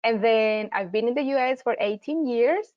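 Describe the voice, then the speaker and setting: A woman's voice: a little high, normal speed, highs cut off. Woman with a Colombian accent teaching. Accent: Colombian, from Medellín. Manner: friendly, explanatory, with frequent fillers and restarts. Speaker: marketing teacher and host. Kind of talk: live online workshop presentation.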